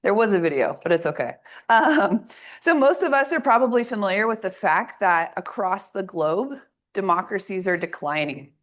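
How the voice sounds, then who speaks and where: Raspy-voiced woman giving an academic talk. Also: medium pitch, expressive, moderate pace. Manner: clear, organized lecturing with audience asides. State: raspy voice from a coming cold. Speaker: assistant professor giving a workshop talk. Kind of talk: academic presentation. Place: lecture room.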